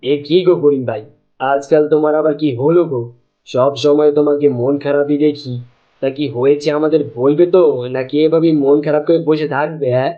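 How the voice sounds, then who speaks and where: A chatty, teasing village friend from a cartoon, acted by a man, with a somewhat high voice. He talks in a folksy, familiar, exclamatory way. The recording is a little muffled. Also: fairly even intonation, a little fast, natural voice. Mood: concerned, gossipy.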